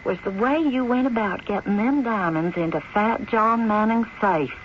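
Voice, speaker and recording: A woman's voice: medium pitch, normal speed, lightly acted. An actress playing a motherly, admiring frontier woman with an American Western drawl, chatty and coaxing, in an old radio drama. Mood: admiring, soothing. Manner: folksy, chatty, coaxing, rustic grammar. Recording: dull, noisy old radio broadcast.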